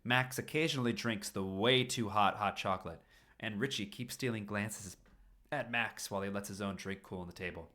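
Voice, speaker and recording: Male story reader, informal live narration, low but forceful voice, prone to self-interrupting asides. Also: normal speed. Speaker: streamer reading fan fiction aloud.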